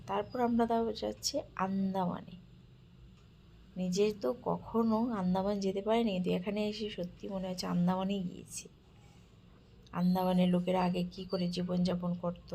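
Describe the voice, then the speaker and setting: Lady vlogging a walkthrough, low even voice, soft and admiring, quiet hum behind. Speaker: vlogger touring a village-life exhibit. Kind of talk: casual narrated walkthrough.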